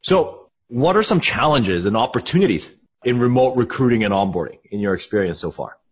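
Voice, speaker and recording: A man's voice: mid-range voice, animated, brisk, breathy edge. A man hosting a business podcast, reading a scripted intro and then asking interview questions in an upbeat way. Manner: upbeat, repeats "awesome". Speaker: podcast host. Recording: dull lo-fi audio.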